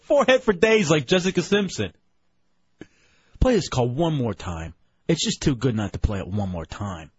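A man hosting a radio talk show, animated and mocking, quick to laugh. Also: mid-range voice, breathy edge, dry recording, dull audio. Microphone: studio microphone.

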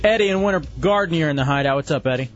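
A man hosting a late-night radio show, joking loudly and bantering with callers and co-hosts. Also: on the high side, racing, muffled recording, soft background music. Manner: loud, joking, animated. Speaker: radio host.

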